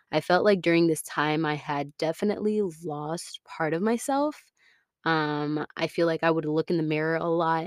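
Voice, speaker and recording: A woman's voice: low-pitched, dry recording, studio quality. A woman of twenty-one with an American accent, hosting a casual, personal podcast monologue in a chatty, candid, informal way. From Florida, United States. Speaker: podcast host.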